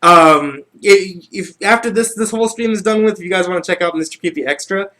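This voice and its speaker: A man's voice: high-pitched, a little fast, bright, forceful tone. A man hosting a livestream in casual, rambling chat, often repeating himself as he goes. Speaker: livestream host.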